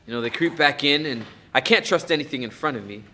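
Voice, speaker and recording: Guy acting as a young dude hiding stuff, halting, medium voice, bit of hiss behind. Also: medium pitch, sharp tone, lightly acted. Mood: troubled. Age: young adult. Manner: hesitant, halting with fillers. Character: young man, guarded, hiding inner turmoil. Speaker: therapy client. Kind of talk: stage play acting.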